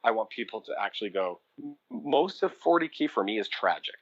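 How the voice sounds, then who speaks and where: A man speaking as a guest on a podcast interview, earnest and animated, with easy laughs and filler words. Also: on the low side, fairly quick, dry recording, dull audio.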